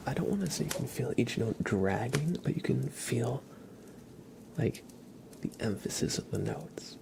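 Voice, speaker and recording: A man hosting a live ASMR stream, talking casually and haltingly to his viewers. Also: mid-range voice, gravelly. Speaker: livestream host.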